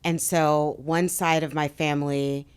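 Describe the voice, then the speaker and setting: A woman's voice: deep voice, flat delivery, unhurried, smooth. A woman hosting a podcast interview, asking questions and listening in an attentive, encouraging way. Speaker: podcast host.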